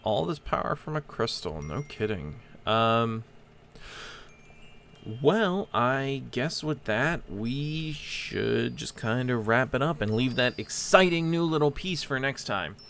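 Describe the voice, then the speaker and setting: A man's voice: medium pitch, expressive, slow pace, vocal fry, fairly bright tone, slight background noise. A man hosting a game playthrough with casual commentary, hesitant and rambling as he thinks aloud. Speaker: host of a gameplay channel.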